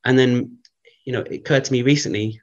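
A man, a guest researcher, thinking aloud in a casual interview, rambling with many fillers, his voice croaky from early morning. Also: mid-range voice, fairly even intonation, a little fast, highs cut off.

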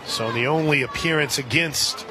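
A man calling live softball play-by-play on the radio, with sponsor reads mixed in. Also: medium pitch, moderate pace. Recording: crowd noise and music behind commentary. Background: stadium crowd and music.

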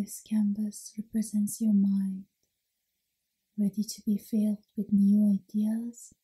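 A woman leading an ASMR guided meditation in a soothing, unhurried, mostly whispered delivery. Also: mid-range voice, mellow, husky, low-quality recording.

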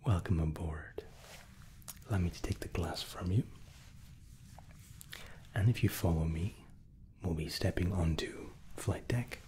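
A man in his thirties whispering softly and courteously as a flight attendant in an ASMR roleplay, close to the microphone. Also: low-pitched, slight background noise. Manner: soft, slow, courteous attentive steward.

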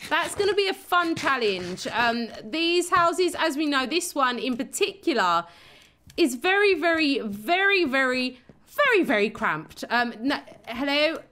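A young woman with a hoarse, worn-out voice, chatting casually to viewers while playing a building game. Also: high voice, pushed and bright. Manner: chatty, giggly, talks to herself and the viewers. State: hoarse, losing her voice. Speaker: game channel host. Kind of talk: casual Minecraft let's play commentary.

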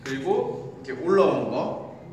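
A man's voice: mid-range voice, moderate pace, recorded from a distance, a little hiss or hum. Man coaching in an echoey gym. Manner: animated, demonstrating with gestures while talking. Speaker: fitness coach. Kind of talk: explanatory exercise lesson. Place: large indoor gym. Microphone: far camera.

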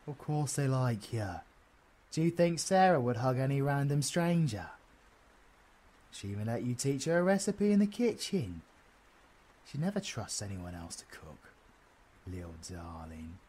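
A man's voice: lowish voice, a little mellow, slightly rough. A British man playing a bossy, cheeky supervillain in an audio roleplay, swearing and teasing in slangy banter. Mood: gruff, grumbling. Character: cheeky, foul-mouthed, bossy male supervillain.

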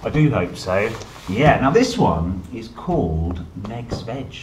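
A man in his thirties reading a picture book to young children, playful and expressive, with chatty asides. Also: on the low side, takes it slowly. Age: 30s. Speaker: storyteller, host reading children's books. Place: indoor room, seated at a table. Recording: roomy echo, some background noise.

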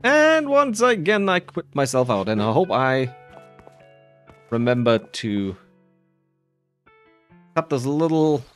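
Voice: medium pitch, sing-song, slow pace, long pauses, faint music behind. A gaming creator giving casual playthrough commentary, rambling and muttering while thinking aloud.

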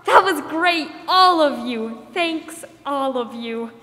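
A 1940s radio hostess, perky and sentimental, played by a young woman. Her voice is bright and fairly high, ringing in an echoey hall. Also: animated, slow, exaggerated voice. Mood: perky, upbeat. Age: young adult. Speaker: radio show host. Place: theater stage, standing mic.

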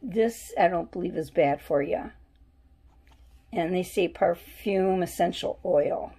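A woman's voice: on the low side, takes it slowly, dark tone, breathy edge. A woman at home showing her soap haul on camera, chatting and musing aloud as she reads labels. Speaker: vlogger. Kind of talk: casual shopping haul show-and-tell. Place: at home, indoors.

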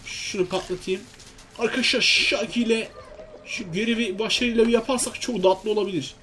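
A man's voice: high voice, steady tone, fairly quick, bright, forceful tone, slight background noise. A man giving casual, chatty, exclamatory let's-play commentary to his viewers, often asking himself questions. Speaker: gaming video host.

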